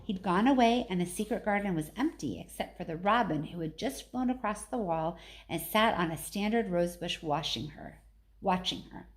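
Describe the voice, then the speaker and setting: A woman reading a storybook aloud in expressive narration. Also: fairly low pitch, normal speed. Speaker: story reader.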